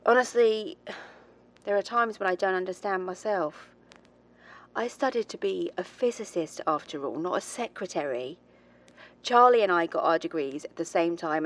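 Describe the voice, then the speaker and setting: A woman's voice: on the low side, close to an everyday voice. An actress playing a frustrated, dry-witted woman physicist, confiding precisely and wryly in a recorded diary for an audio drama. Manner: wry, clipped, confiding, precise.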